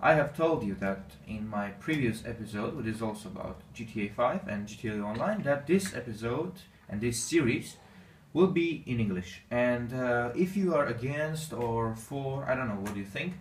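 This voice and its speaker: A man's voice: medium pitch, animated. Armenian man in a roomy, echoey space. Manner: halting, non-native English with many fillers. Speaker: gaming channel host. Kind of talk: casual gameplay commentary. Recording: echoey room, distant mic, faint noise.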